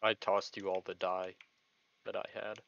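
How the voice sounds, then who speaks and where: A man's voice: sits low, little pitch movement, a little slow, gravelly, a bit bright, highs cut off. A young man playing a game with a teammate, answering briefly and asking easygoing questions. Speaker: speedrunning teammate. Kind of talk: casual gaming chat.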